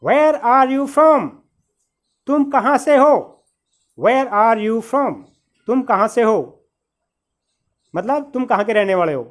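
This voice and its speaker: High-voiced, slow teacher man. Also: expressive. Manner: patient, repeats each phrase, calls listeners "brother". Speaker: English teacher. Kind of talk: beginner spoken-English lesson.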